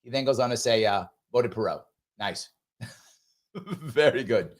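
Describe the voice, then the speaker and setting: A man's voice: mid-range voice, lively intonation, measured pace. Emphatic talk show host man. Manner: emphatic, conversational, repeats himself, frequent "right?". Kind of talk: live discussion, reading viewer comments.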